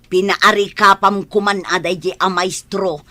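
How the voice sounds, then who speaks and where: Sharp forceful mother, bossy, indignant, dry close sound, radio drama. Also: medium pitch, level tone, a little slow, no room sound. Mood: angry. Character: indignant, bossy mother demanding for her son. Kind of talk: Ilocano radio drama acting.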